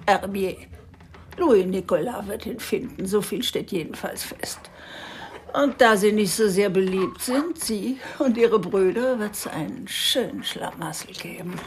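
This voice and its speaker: Actress doing chatty old country lady, radio play, blunt n sure of herself, medium pitch, noisy background. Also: lightly acted. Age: older. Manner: blunt, folksy, self-assured. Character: plucky old village woman, former guesthouse keeper, blunt and chatty. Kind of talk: radio drama acting.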